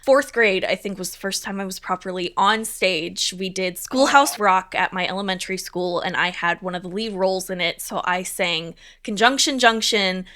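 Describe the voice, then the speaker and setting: A woman's voice: medium pitch, normal speed, few pauses, bright, forceful tone. A young adult woman, a stage manager, chatting casually and upbeat in an interview, with many fillers.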